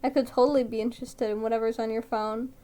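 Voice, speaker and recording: A woman reading the part of a bitter, sharp-tongued older brother with a needling, sarcastic manner. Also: on the high side, little pitch movement, fast, natural voice.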